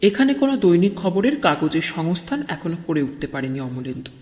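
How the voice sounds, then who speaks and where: High-pitched male horror narrator, heavy reverb. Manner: suspenseful, expressive storytelling. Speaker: audio story narrator. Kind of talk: dramatic scripted horror narration. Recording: long cavernous reverb.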